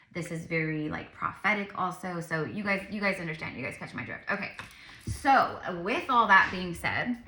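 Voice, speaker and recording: A woman's voice: fairly low pitch, bright, forceful tone, echoey room. A tired woman with a slightly crackly voice, chatting informally and in a rambling way to viewers during a psychic tarot reading. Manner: informal, rambling, addressing viewers as "you guys". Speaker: psychic medium and tarot reader.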